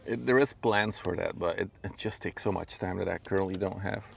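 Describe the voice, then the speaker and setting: A man's voice: deep voice, brisk, creaky, husky. A man from Belgium, a native Dutch speaker with a Flemish accent, chatting casually in an easygoing, rambling way as he livestreams from a riverbank. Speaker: live streamer, drone pilot. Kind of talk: casual livestream chat and commentary. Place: outdoors on a riverbank. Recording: faint outdoor background noise.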